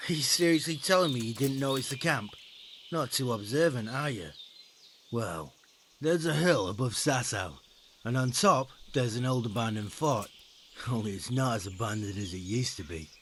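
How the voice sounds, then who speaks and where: An actor playing a brusque, mocking bandit recruiter in a medieval video game, with a sardonic, needling delivery. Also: male voice, mid-range voice, animated, normal speed, fairly bright tone, a little hiss or hum, close to an everyday voice. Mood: sardonic, instructive. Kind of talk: video game cutscene acting.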